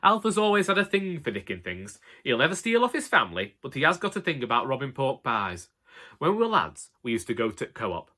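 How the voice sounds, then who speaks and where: Man playing an elderly Northern English husband, long-suffering, deadpan grumbling, bright forward tone, comic storybook monologue. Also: mid-range voice, fairly quick, full character voice. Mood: wry, droll. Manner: colloquial, wry, deadpan grumbling. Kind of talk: first-person comic monologue, audiobook narration.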